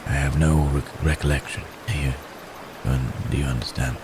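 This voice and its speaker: A man voicing a gentle, remorseful wounded werewolf in an intimate ASMR roleplay, speaking haltingly and formally, as if in pain. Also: very deep voice, fairly even intonation, background noise. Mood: haunted, remorseful. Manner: halting, hesitant, soft-mannered storytelling with formal wording. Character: wounded male werewolf, gentle, remorseful, recounting his escape.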